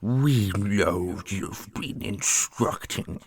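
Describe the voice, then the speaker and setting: A man voicing a stern, haughty grand master of a holy order in a fantasy audio roleplay, speaking in a deliberate, imperious way. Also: lowish voice, slightly rough, no room sound, studio quality, exaggerated voice. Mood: accusing.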